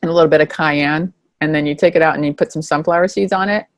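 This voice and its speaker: A woman hosting a podcast interview, talking in a relaxed, rambling way with lots of filler words. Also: low voice, quick pace, no echo. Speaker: podcast host. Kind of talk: casual interview chat.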